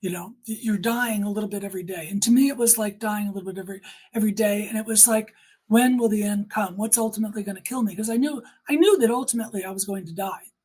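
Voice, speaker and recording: Older woman with a husky voice. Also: mid-range voice, fast, dark tone. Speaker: interview guest. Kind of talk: personal testimony in conversation.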